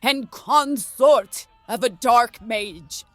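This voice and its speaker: A stern fantasy magistrate in an audio drama. She declaims in a high, very slow and vengeful voice over music, ragged with emotion. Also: a bit bright, no room sound. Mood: vengeful accusation. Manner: declamatory, accusing.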